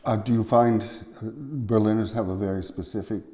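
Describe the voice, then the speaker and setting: A male interviewer with a fairly low, dark-toned voice. He keeps things conversational, following attentively with brief questions and small acknowledgements. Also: steady tone, normal speed.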